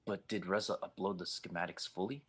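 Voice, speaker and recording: A man playing a gruff, skeptical, protective pilot with a husky voice in an audio drama read. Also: low voice, steady tone, brisk, fairly bright tone. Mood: doubtful. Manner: dry, doubting.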